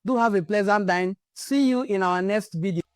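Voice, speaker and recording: A woman's voice: mid-range voice, normal speed, dry recording, faint music behind. Rallying Nigerian woman, dry close sound. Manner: rallying, repetitive exhortations. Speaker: cultural channel host. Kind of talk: impassioned cultural address.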